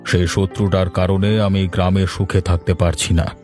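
A man's voice: sits low, little pitch movement, moderate pace, darkish tone, faint music behind, lightly acted. A man voicing a power-hungry, commanding village boss in a cartoon tale. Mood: calculating. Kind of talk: Bengali fairy-tale cartoon voice acting.

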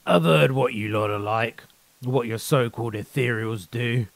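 A man's voice: medium pitch, moderate pace, creaky, dry recording, close to an everyday voice. A man voicing a bitter, accusing captive soldier in a short story. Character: bitter, distrustful captured young soldier, loyal zealot. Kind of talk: short story character reading.

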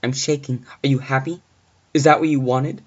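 A man acts out a scared young woman babysitting in a horror tale. The voice is raised high, panicky and pleading, with a slightly dull recording. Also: takes it slowly, dark tone.

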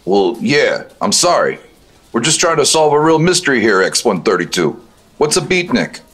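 Husky, argumentative young agent. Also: male voice, mid-range voice, sing-song, moderate pace, fairly bright tone. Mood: sheepish. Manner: exasperated, argumentative. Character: irritable, teasing male junior special agent. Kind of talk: comic radio drama acting.

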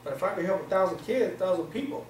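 A man's voice: sits high, animated, very fast. A man in his forties from Pakistan, a non-native English speaker, giving an informal motivational talk to a small group in colloquial, streetwise phrasing. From Pakistan, grew up in Virginia, US. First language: not English. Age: 40s. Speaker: seminar speaker. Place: small indoor conference room. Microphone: far camera microphone. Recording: echoey room, distant camera mic, noisy.

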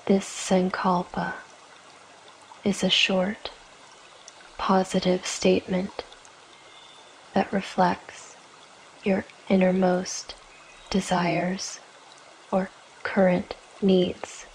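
A woman guiding a yoga nidra meditation in a gentle, soothing, measured way, leaving long pauses between short phrases. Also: fairly low pitch, monotone, a little hiss or hum, poor audio. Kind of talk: guided meditation, scripted narration.

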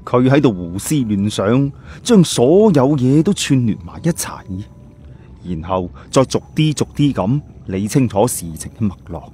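Audiobook narrator: man, relaxed warm timbre, middle register, telling a scripted story. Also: medium pitch, measured pace, slight background noise.

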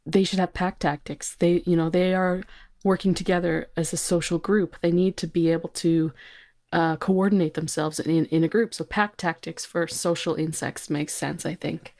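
A woman's voice: lowish voice, steady tone, normal speed, a little mellow. A woman speaking as a podcast guest, explaining her thinking in casual discussion.

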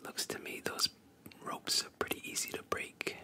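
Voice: normal speed, natural voice. A storyteller voicing a blunt, matter-of-fact boy hero in a whisper, as in a manga retelling. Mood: unconcerned.

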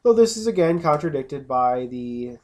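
A man's voice: fairly high pitch, animated, normal speed, a little mellow. A man casually commenting over gameplay, rambling and often restarting his sentences. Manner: rambling, self-interrupting, casual. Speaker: let's play host.

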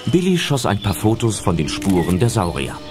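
A male narrator tells a German audio drama from a script. His voice is low and very animated in pitch, laid over background music. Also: normal speed.

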